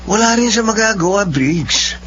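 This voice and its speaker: A man with a Filipino accent playing a cocky, smooth-talking young schemer who courts an older woman in a radio drama. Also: sits high, animated, normal speed, fairly bright tone, soft background music. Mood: smug. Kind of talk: melodramatic radio drama acting. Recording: dull lo-fi audio with hum.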